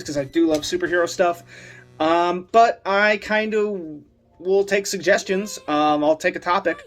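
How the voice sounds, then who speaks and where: A man with a high-pitched voice gives casual, off-the-cuff reaction commentary as a channel host. His manner is giggly and informal, with some swearing, and music plays faintly behind him. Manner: giggly, often laughing as he talks. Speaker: host of a meme review channel.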